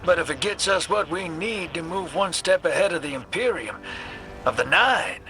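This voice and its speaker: An actor playing a gruff, wry, cynical gambler rogue in a video game cutscene, with a slangy, folksy way of talking. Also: male voice, on the high side, expressive, normal speed, bright, forceful tone, faint music behind. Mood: wry, defiant.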